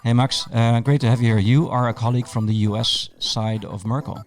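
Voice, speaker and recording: A man's voice: mid-range voice, steady tone, a little fast, soft background music. A man hosting a podcast, introducing and interviewing in a conversational style. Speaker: podcast host.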